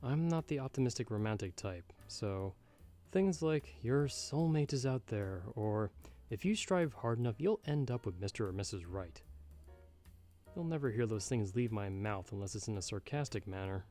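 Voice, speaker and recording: A man playing a jaded, sardonic bartender in an audio roleplay, speaking in a measured, slightly formal, wry way over background music. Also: on the low side, lively intonation, mellow, lightly acted. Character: jaded, sardonic male bartender giving frank, fatherly advice. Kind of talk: ASMR roleplay, scripted monologue to the listener.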